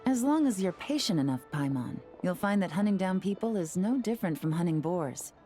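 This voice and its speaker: An actress playing a composed, teasing young woman investigator in a video game, speaking in a measured, wry way with music behind her. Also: fairly low pitch, animated, mellow, lightly acted.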